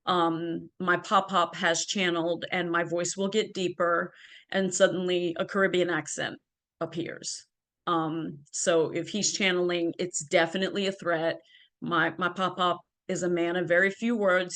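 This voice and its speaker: A woman's voice: fairly low pitch, a bit bright. Earnest woman telling her story. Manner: earnest, with frequent ums and restarts. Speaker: interview guest. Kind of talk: personal storytelling in an interview. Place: home, by a ring light.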